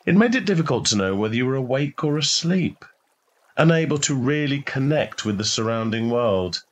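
A man narrating a short story in a measured, expressive literary reading style. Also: mid-range voice.

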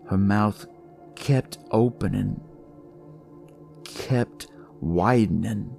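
A man narrating dramatic noir horror in the first person, with a deliberate, brooding delivery. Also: on the low side, creaky, dark tone. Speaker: storyteller reading in first person as a detective. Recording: music behind the voice.

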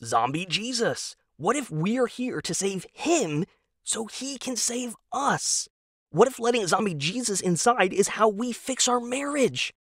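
A man's voice: sits high, big pitch swings, measured pace, fairly bright tone, no room sound, studio quality, voice pushed up, exaggerated voice. A man voicing a naive, eager husband in an audio drama, rambling earnestly with wide-eyed enthusiasm. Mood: eager, excited. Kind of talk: audio drama character dialogue.

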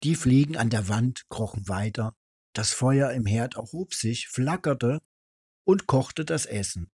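A man's voice: medium pitch, animated, a little slow, darkish tone, slightly rough, no echo, clean studio sound. A man reading a fairy tale aloud as a storyteller.

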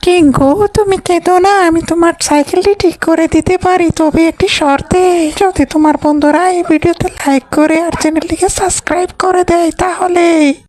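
A man voicing a demanding witch woman for a children's cartoon, with a shrill, strained, drawn-out theatrical delivery. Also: steady tone, darkish tone, dry recording. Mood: coaxing. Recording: music behind the voice.

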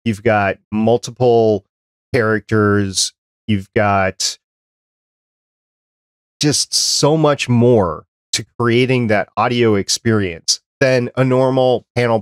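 A middle-aged man interviewing conversationally as a podcast host, explaining things in a measured way into a close desk microphone. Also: on the low side, no room sound. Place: small home studio room, indoors. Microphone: close desk microphone with headphones.

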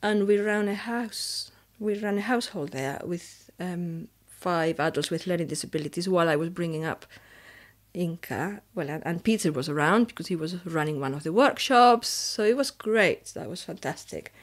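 Woman, forties, strong Spanish accent, fairly low voice, chatty, restarts, home interview. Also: normal speed. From Canary Islands, Spain. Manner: chatty, with frequent restarts and "you know". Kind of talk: reflective personal storytelling. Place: living room at home, indoors.

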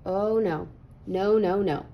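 A woman's voice: mid-range voice, slow, dull audio, a little hiss or hum. Woman playing an anxious, awkward little storybook bean. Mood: dismayed. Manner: hesitant, nervous, exclaiming. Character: anxious, awkward, self-doubting cartoon bean telling his story.

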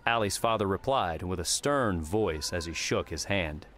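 A man's voice: low voice, expressive, normal speed, fairly bright tone, slight background noise. A man narrating scripted third-person fiction as an audiobook narrator. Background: music.

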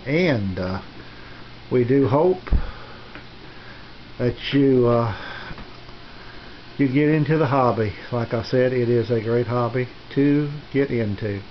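A man narrates a casual tour of his hobby gear in a halting, hesitant, folksy ramble. His fairly middle-range voice is caught on a camera's built-in mic in a small room. The sound is dull and lo-fi, with some faint noise behind him. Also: medium pitch. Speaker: amateur radio hobbyist presenting his equipment. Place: small indoor home radio room. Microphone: handheld camera's built-in mic, behind the lens.

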